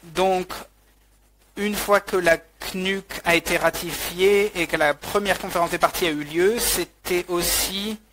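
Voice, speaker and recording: A man interpreting a webinar talk live, halting, with frequent restarts and fillers. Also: high-pitched, fairly even intonation, noisy background.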